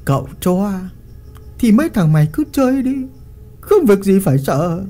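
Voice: medium pitch, big pitch swings, normal speed, dark tone, voice pushed up, natural voice. A storyteller voicing a cruel, arrogant young master who barks orders at his servants. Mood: gloating. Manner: bossy, barking orders.

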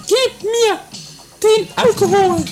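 A performer voicing a drunken, theatrical, murderous aristocratic widow in a high, whining, haughty falsetto for a trashy improvised horror comedy radio play. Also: slow, reverberant, over music. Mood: drunken, pleading. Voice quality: very high-pitched put-on falsetto.

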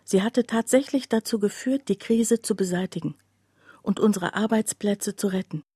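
An actress playing a sombre townswoman who recounts a friend's terrible deed in measured radio drama narration, often dropping to a hush. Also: medium pitch, slightly rough, dry recording, clean studio sound, close to an everyday voice. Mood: quiet, reflective.

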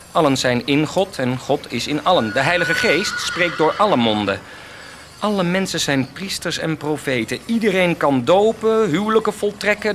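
An actor playing a stern, zealous peasant prophet and rebel in a radio drama, speaking fervently and without compromise, like a preacher. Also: male voice, on the high side, a bit bright, a little hiss or hum. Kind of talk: theatrical radio drama acting.

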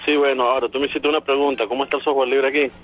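Dude calling in on a cell, raspy voice, muffled lo-fi line, rambles with lots of bueno o sea. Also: male voice, medium pitch, flat delivery, racing, faint music behind. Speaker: phone-in guest. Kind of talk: casual chat by phone. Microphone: mobile phone. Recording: dull phone line.

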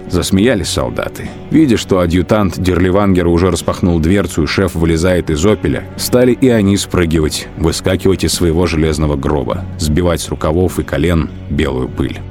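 A man reading scripted literary narration as the narrator of a radio drama. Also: deep voice. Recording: studio narration over clear music bed. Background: music.

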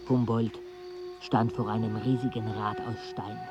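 A man in a radio play acting a weary travel companion who narrates in a measured, reflective way. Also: on the low side, level tone, lo-fi sound, music in the background, lightly acted. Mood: reflective narration.

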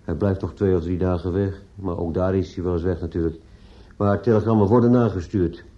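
A dull old radio play recording with a man acting a newspaper clerk. His voice is deep and very dark, and he speaks in a formal, obliging, businesslike way. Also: fairly even intonation, a little fast, lightly acted. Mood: polite, businesslike. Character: courteous, businesslike male newspaper office clerk.

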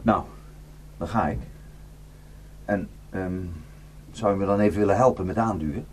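A man's voice: deep voice, moderate pace, lightly acted. An actor in a radio drama playing a weary, bitter, well-travelled man who grimly warns of atrocities. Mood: grim warning. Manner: grim, cursing, rambling storyteller. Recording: noisy radio play recording.

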